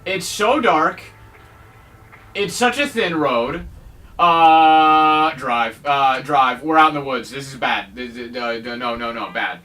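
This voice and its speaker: A man's voice: medium pitch, slow pace, pushed and bright, recorded from a distance. A man giving jokey, rambling let's-play commentary on a game, with mock-dramatic outbursts. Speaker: gaming video host.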